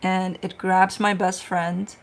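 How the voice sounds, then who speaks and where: A woman's voice: on the low side, flat delivery, takes it slowly. A woman, a tarot reader chatting casually on a livestream, telling stories and answering viewer questions. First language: Romanian.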